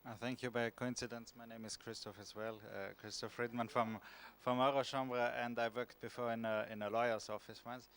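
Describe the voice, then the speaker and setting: A man with an Austrian accent asking a panel a measured question from the audience. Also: medium pitch, level tone, fairly bright tone. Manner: measured, with repetitions.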